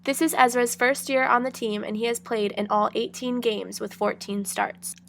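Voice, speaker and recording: A woman's voice: on the high side, steady tone, normal speed, gravelly, a bit bright, slight background noise. A woman reading scripted narration for a student news report.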